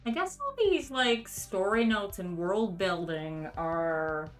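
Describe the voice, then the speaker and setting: A woman's voice: mid-range voice, expressive, slow pace. A middle-aged woman hosting a dramatic reading of comments in a theatrical, sarcastic manner. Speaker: host. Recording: music playing behind the voice. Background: background music.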